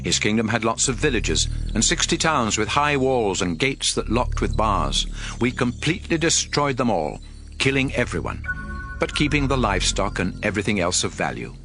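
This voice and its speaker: A man narrating scripture as a dramatized audio Bible, with a measured, expressive storytelling delivery. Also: fairly low pitch, soft background music.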